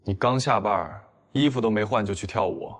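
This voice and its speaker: A cool, clever man played by an actor with a fairly low voice. He speaks with restraint, in the style of an animated detective drama. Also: moderate pace, natural voice. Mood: guarded. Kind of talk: audio drama acting for animated comic.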